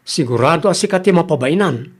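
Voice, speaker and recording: A man's voice: a little high, expressive, fast talker. A blunt village man, acted by a man in an Ilocano comedy radio drama. He chides and advises with an Ilocano Filipino accent. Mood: chiding.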